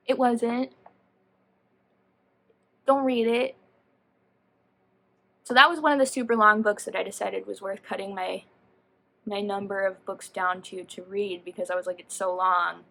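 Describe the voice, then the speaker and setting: A woman giving a casual, chatty book review as a vlogger, animated and slangy, often rambling and interrupting herself. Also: mid-range voice, a little slow, creaky, pauses often.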